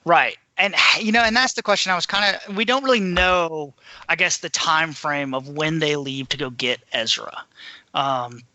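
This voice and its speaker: A man's voice: on the high side, moderate pace, pushed and bright, no echo. A man running through news in a casual, informal way as a podcast panelist. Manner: informal, conversational. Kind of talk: casual news rundown and discussion.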